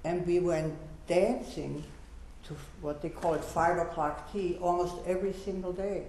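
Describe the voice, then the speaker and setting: A woman in her eighties, a Holocaust survivor on a panel, giving personal testimony in an earnest, measured way that turns gently urging. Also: on the low side, steady tone, a little hiss or hum. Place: university lecture hall. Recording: echoey lecture hall.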